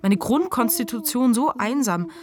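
A woman acting in a radio play as the lonely, questioning inner voice of a psychiatric patient, measured and literary. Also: fairly high pitch, animated, a crowd in the background, lightly acted. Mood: reflective. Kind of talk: scripted radio play narration. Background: music.

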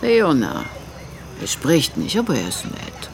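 A relaxed campsite mother in a radio drama, amused and teasing. Her intonation is very varied, and there is faint outdoor noise behind her. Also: low-pitched, measured pace, exaggerated voice. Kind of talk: naturalistic radio drama dialogue.

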